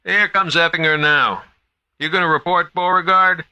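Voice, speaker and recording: A man's voice: on the high side, fairly even intonation, normal speed, sharp tone, clean tone, dull audio, pitched above the performer's own voice. A man voicing a nervous, lost young male guard, talking in a strained, raised voice. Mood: anxious.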